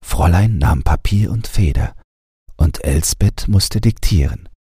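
A man reading a scripted bedtime audiobook in a calm storytelling style. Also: low-pitched, unhurried, husky, no echo, clean studio sound. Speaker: audiobook narrator.

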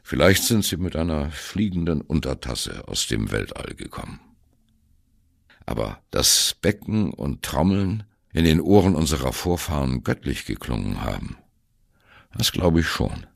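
A man's voice: a bass voice, big pitch swings, moderate pace, vocal fry, heavily acted. An actor playing a wry, disillusioned ageing jazz musician who recalls his band years in a musing, conversational radio-play narration. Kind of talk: first-person reminiscing narration in a radio play.

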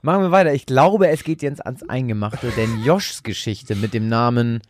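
A man's voice: medium pitch, moderate pace, a little hiss or hum. A man co-hosting a podcast with playful, loose, improvised casual banter. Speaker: podcast co-host.

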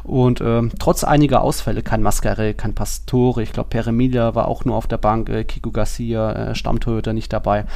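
A man's voice: medium pitch, fairly even intonation, fairly quick, creaky, hardly any pauses, darkish tone. A man co-hosting a football podcast, recounting and assessing things in a laid-back, conversational way. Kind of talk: casual sports discussion.

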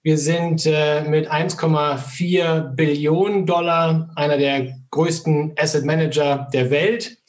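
A middle-aged man answering interview questions about his firm, measured and full of fillers. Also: a little high, steady tone. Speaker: asset manager guest.

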